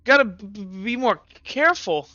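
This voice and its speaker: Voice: medium pitch, animated, moderate pace, bright, forceful tone, pitched above the performer's own voice. A game master voicing a nervous young male companion who stammers on his words. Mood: surprised. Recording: dull lo-fi recording.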